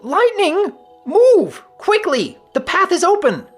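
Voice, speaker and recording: A man's voice: very high voice, huge pitch swings, slow, faint music behind, voice pushed up, exaggerated voice. A man voicing an anxious, urgent little male wolf companion in a dramatic story reading. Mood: frantic. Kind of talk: dramatic fan-fiction audiobook reading.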